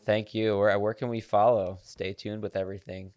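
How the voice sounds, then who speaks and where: A man's voice: lowish voice, level tone, normal speed, a little mellow. A man hosting a podcast, effusive and stumbling as he praises his guest. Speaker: podcast host. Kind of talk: casual interview.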